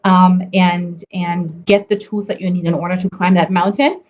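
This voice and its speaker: A woman's voice: on the low side, fairly even intonation, normal speed, darkish tone. Woman hosting a chatty livestream. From Germany. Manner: chatty, many ums and restarts. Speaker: nutrition coach hosting a livestream. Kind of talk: casual live stream teaching. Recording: slightly dull audio with faint noise.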